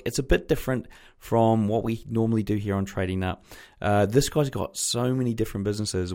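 A New man hosting a podcast, chatty and rambling with many fillers, his voice a little croaky from a sore throat. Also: fairly low pitch, a little mellow. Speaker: podcast host. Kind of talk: casual podcast intro.

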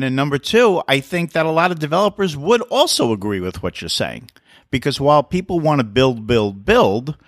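A man's voice: medium pitch, fairly bright tone, dry recording, clean studio sound. A man hosting a real estate podcast interview, talking in an upbeat, enthusiastic way. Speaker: podcast host.

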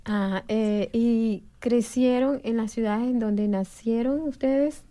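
A woman's voice: a little high, takes it slowly, mellow. A woman leading a casual group interview, asking short questions and laughing along.

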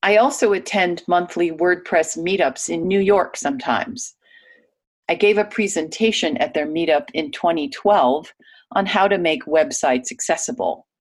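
A woman reading a guest's typed interview answers aloud in a clear, even manner. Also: lowish voice, normal speed.

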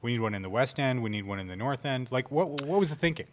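A man's voice: medium pitch, expressive, fast talker, slight background noise. A man co-hosting an interview podcast in an informal, jokey, chatty way. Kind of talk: casual chat.

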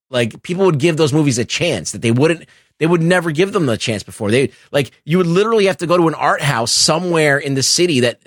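A middle-aged man co-hosting a casual film discussion, explaining things in a halting, profane way. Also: a little high, no echo, studio quality. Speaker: podcast co-host.

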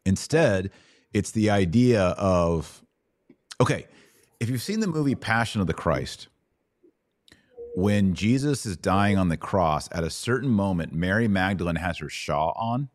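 Very deep-voiced man teaching, dry close sound. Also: expressive, unhurried, no room sound. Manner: explanatory, checks understanding with rhetorical tags. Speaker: host and Bible teacher answering questions. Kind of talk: conversational Bible teaching podcast.

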